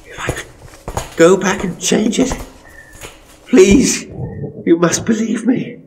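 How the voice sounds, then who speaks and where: A man's voice: high voice, takes it slowly, gravelly, darkish tone, a little hiss or hum, pitched above the performer's own voice, exaggerated voice, rough recording. Man acting a panicked, pleading tour guide. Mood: terrified, pleading. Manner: breathless, stammering, panicked pleading. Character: terrified ghost tour guide pleading for his life. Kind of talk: audio horror story acting.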